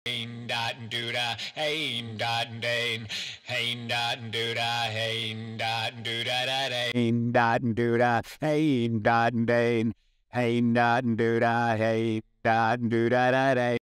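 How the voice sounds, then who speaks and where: A man's voice: mid-range voice, little pitch movement, slow, a bit bright, smooth, dry recording, studio quality, faint music behind. A man voicing a mechanical fish monster for a game, scatting nonsense syllables in a clipped, repetitive, rhythmic chant. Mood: steady, droning. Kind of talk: rhythmic scat singing for a game.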